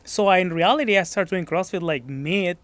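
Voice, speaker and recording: A man's voice: high voice, brisk, fairly bright tone, no echo. A man from Mexico with a Mexican accent, speaking English as a second language in a casual gym interview, modest and searching for words. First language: Spanish. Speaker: gym member being interviewed.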